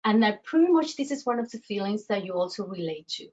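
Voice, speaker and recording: Woman coach, Colombian accent, mid pitch, halting and filler-heavy, slightly dull lo-fi audio. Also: lively intonation, darkish tone. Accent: Colombian Spanish. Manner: halting, many fillers and restarts. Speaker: self-worth coach. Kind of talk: webinar presentation.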